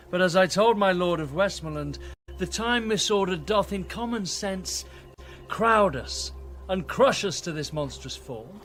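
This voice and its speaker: A fairly high, very expressive voice for a grave rebel archbishop in a radio play. He speaks in formal, eloquent rhetoric over faint background noise. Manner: formal, rhetorical, measured phrasing. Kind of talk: classical radio drama acting.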